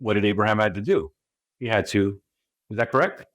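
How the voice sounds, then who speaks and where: A man's voice: on the low side, fairly quick, a bit bright, no room sound. American guy being interviewed, punchy rhetorical questions, keeps saying okay, dry close mic. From California. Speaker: spiritual guide, guest. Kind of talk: interview talk.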